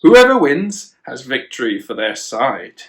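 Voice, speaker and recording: Man acting huge storybook giant, boastful, bellowing, taunting, big pitch swings. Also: wildly swooping pitch, unhurried, fairly bright tone, full character voice. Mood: boastful challenge.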